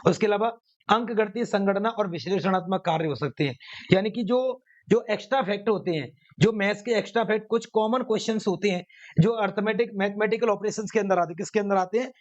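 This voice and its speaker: A man's voice: high voice, fast. A man lectures students as an exam coach. He drives points home by repeating phrases and posing questions that he answers himself. The recording is somewhat muffled and lo-fi. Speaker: maths teacher running online exam classes. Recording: dull, lo-fi sound.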